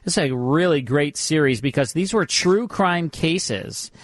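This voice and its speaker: Male radio host, slightly high voice, casual and self-deprecating, given to rambling asides, close dry studio sound. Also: expressive, a little slow, no echo. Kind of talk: casual co-hosted radio banter and program previews. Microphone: close studio microphone.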